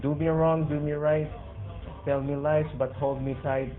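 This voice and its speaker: Slow-talking Filipino-accented man on tinny radio. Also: on the high side, flat delivery. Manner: hesitant, searching for words. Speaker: amateur radio operator in an on-air conversation. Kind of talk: casual radio chat. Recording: phone-like radio audio, faint music behind.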